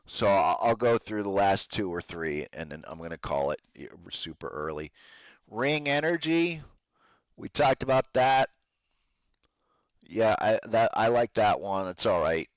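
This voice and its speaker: Low-voiced man losing his voice, podcast host. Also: lively intonation, unhurried, no room sound. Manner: informal trader slang, addresses listeners as guys. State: losing his voice, strained from talking. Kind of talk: casual live stock chart commentary.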